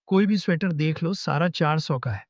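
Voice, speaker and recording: Woman voicing male street seller, rude, contemptuous, low pitch, cartoon story, muffled dry recording. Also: moderate pace, darkish tone, dry recording. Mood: scornful. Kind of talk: cartoon moral story acting.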